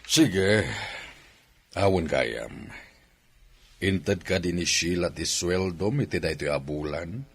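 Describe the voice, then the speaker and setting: A man with an Ilocano accent playing an authoritative but agreeable father who runs a company, in a radio drama, with a husky voice. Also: sits low, slow, pauses often, heavily acted. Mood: calm, businesslike.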